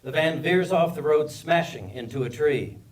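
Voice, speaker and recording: A man's voice: on the high side, animated, moderate pace. A man reading screenplay stage directions as scripted narration at a live table read. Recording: distant microphone at live reading.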